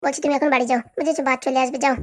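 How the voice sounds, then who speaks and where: A woman's voice: high voice, fairly even intonation, rapid-fire, natural voice. A woman voicing an evasive, reassuring young student boyfriend in a comedy cartoon, chatty and brushing off objections. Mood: brisk, exasperated. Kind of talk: Bangla comedy cartoon dialogue.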